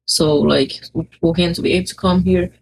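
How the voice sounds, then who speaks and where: A woman's voice: on the low side, fairly even intonation, moderate pace, gravelly, darkish tone, slight background noise, poor audio. A woman from northern Brazil, a native Portuguese speaker, chatting casually in English with a Brazilian accent. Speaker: vlogger, partner co-telling story. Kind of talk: casual chat.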